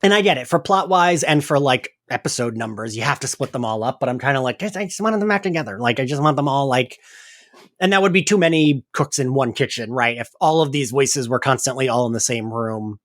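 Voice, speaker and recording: A man's voice: medium pitch, expressive, brisk, a bit bright, no echo. Casual male podcast host, close dry sound. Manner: conversational, frequent "like" and tag questions. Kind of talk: casual chat.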